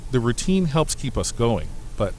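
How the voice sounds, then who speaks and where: A man acts as an earnest young-at-heart aide aboard a starship in a sci-fi audio drama. His mid-pitched voice swings expressively as he confides and mildly grumbles, with a faint engine-like hum behind him. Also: very animated, normal speed, a little mellow, natural voice. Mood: wistful, reflective. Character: earnest male starship aide to a demanding ambassador. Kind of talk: science fiction audio drama, personal letter monologue.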